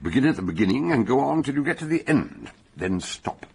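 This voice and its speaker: An actor playing an erudite, genially pompous doctor sleuth in a radio mystery, with a deliberate manner. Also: male voice, medium pitch, big pitch swings, vocal fry, a little mellow, slightly rough, exaggerated voice. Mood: wryly curious. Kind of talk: radio mystery drama acting.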